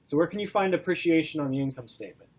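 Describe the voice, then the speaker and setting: A man's voice: on the high side, racing, slightly rough, rough recording. Stuffy-nosed man lecturing a class. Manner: relaxed, many ums, asks class questions. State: stuffy from a cold. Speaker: college instructor.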